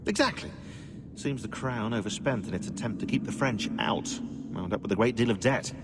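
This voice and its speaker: An actor playing a snide, excitable, pedantic British historian who rambles sarcastically in a video game. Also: male voice, medium pitch, fairly quick, very noisy, lightly acted. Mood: dry, pedantic.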